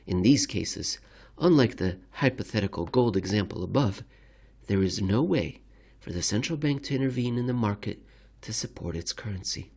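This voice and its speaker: A man giving a measured, deliberate ASMR reading of a formal written commentary. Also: on the low side, animated, creaky, darkish tone, slightly rough. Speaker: reader, narrator.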